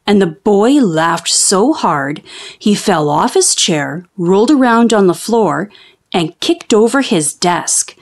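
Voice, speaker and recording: A woman narrates a children's storybook aloud. She takes it very slowly and deliberately, with a lively, widely varied melody. Her reading is expressive throughout. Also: mid-range voice. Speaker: children's book reader.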